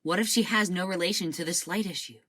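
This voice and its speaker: A woman's voice: fairly low pitch, fast, fairly bright tone. A woman playing an earnest crew member. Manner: earnest, insistent.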